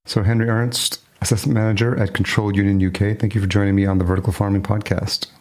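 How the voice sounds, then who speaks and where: A man's voice: on the low side, flat delivery, quick pace. A man with an American accent from New York, speaking conversationally and reflectively as a podcast host. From New York, United States. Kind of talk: conversational interview.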